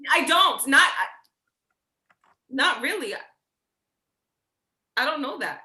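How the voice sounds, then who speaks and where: Insecure older sister, acted by a woman, naturalistic stage drama, bright forceful tone, halting and blunt, roomy echoing sound. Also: high-pitched, expressive, exaggerated voice. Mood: insecure, pleading. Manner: halting, repeats words, swears bluntly. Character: Jordan, insecure, blunt, protective older sibling. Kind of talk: staged play reading, naturalistic dialogue.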